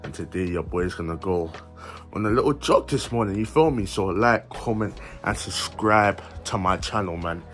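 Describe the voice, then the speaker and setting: A young man with a London accent chatting in slang to his phone camera while out jogging, with lively asides. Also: low voice, normal speed, faint music behind. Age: young adult. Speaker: vlogger. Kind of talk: casual vlog talk to camera. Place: outdoors in a park and streets at dawn, also a bedroom. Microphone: phone held at arm's length.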